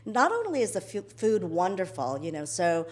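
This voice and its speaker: A woman's voice: fairly low pitch, sing-song, moderate pace. A middle-aged woman born in the Philippines, hosting an interview in a chatty way with many fillers and restarts. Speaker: host, interviewer. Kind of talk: conversational interview.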